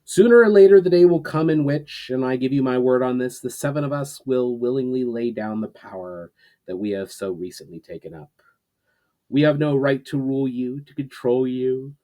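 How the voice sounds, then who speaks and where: A man's voice: mid-range voice, animated, mellow, natural voice. Man reading as solemn, earnest orator leader. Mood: solemn resolve. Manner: measured, declamatory oratory. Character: earnest, solemn male leader addressing assembled armies. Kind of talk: dramatic storybook reading.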